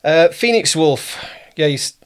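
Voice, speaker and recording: A man's voice: fairly high pitch, lively intonation, unhurried, clear, resonant tone. A man working as an interviewer and producer, chatting casually in a rambling, affirming way.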